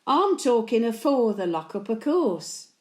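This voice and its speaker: A woman's voice: a little high, big pitch swings, unhurried, a little mellow, reverberant. A woman playing a blunt, antisocial elderly Birmingham widow, telling chatty stories in local dialect as a dramatic monologue. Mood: wry, grumbling. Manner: blunt, grumbling, colloquial dialect. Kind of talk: dramatic monologue, chatty storytelling to late husband.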